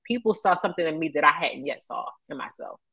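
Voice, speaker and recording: A woman in her thirties with an American accent and a low-pitched voice. She speaks as an interview guest in a conversational storytelling style. The sound is close and dry. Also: expressive, quick pace, gravelly, sharp tone, breathy edge, no room sound. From Baltimore, United States. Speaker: interview guest, media professional.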